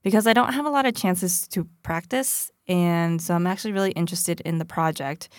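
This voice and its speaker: A woman's voice: lowish voice, fairly quick, no room sound, clean studio sound. A woman hosting a podcast, moving between scripted personal narration and interview talk. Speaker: podcast host.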